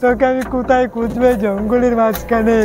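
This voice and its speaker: A man's voice: very high-pitched, flat delivery, quick pace. A man playing a poor, protective woodcutter father in an amateur horror drama, plain-spoken and repeating himself. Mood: worried, searching.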